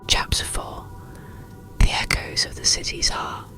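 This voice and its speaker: A narrator reading a scripted bedtime story in a breathy, unvoiced ASMR whisper, soothing and hushed, with unhurried phrasing. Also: over music. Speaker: audiobook narrator.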